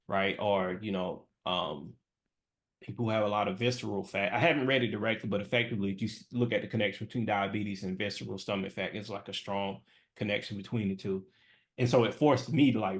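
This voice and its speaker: A young man hosting a podcast, talking casually with many fillers. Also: fairly low pitch. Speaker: podcast host. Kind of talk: casual interview talk.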